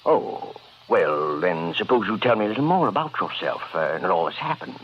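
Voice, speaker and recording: A courteous murderer in a vintage radio thriller. His voice is fairly low and very animated, fussy and hesitant with repeated words. The old recording is muffled. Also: moderate pace, slight background noise. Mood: falsely courteous. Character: sinister, falsely courteous murderer luring lone women. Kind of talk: 1940s radio horror acting.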